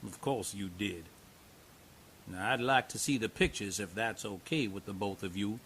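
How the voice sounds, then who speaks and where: An actor playing a kindly man visiting a patient, gentle and reassuring in his speech. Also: fairly low pitch, normal speed, a little hiss or hum, natural voice. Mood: calm.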